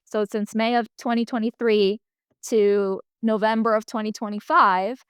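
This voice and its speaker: A woman's voice: fairly high pitch, fairly even intonation, normal speed, clear, resonant tone, no room sound, studio quality. A woman in her twenties, raised in Italy and Spain, speaking with an American accent as a podcast guest, telling her story in a chatty way. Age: 20s. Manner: chatty, storytelling with quoted asides. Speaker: podcast guest, marathon runner. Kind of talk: casual interview chat.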